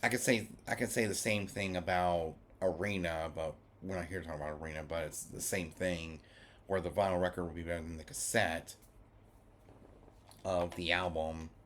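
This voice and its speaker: A man giving a casual, rambling review, halting and restating his points as he goes. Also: low voice, steady tone, a bit bright, slight background noise. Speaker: vlogger reviewing music formats.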